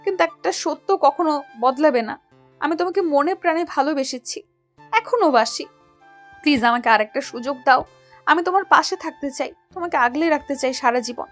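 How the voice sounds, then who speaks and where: A woman's voice: high-pitched, normal speed, a bit bright, faint music behind. A woman playing a remorseful, devoted young lover, pleading earnestly in a romantic audio story. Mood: tearful devotion.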